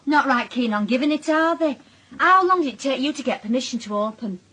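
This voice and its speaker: An actress playing a sharp-tongued, long-suffering wife in a British radio drama, dry and cutting, with a Northern English accent. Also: female voice, sits high, animated, fairly quick, slight background noise. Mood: wry.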